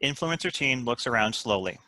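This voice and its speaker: A man's voice: medium pitch, expressive, a little fast, sharp tone, soft background music. A man reading out stage directions as scripted narration for a screenplay table read.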